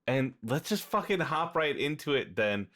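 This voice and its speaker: Casting: livestream host, mid-range voice, casual, brisk, giggly, sweary. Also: mid-range voice, big pitch swings, fairly bright tone.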